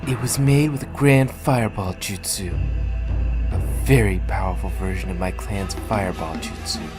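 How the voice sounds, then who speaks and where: A woman's voice: extremely low pitch, normal speed, music in the background. A woman playing an anxious ninja villager bringing bad news in an audio drama. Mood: grave. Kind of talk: fan audio drama acting.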